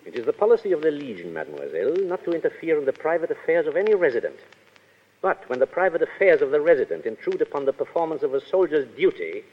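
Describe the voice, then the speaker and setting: An actor playing a stern, menacing Foreign Legion adjutant in a 1930s radio drama, speaking in a clipped, formal, authoritarian way. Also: male voice, fairly high pitch, big pitch swings, quick pace. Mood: coldly formal. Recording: muffled 1930s radio broadcast, hall reverb.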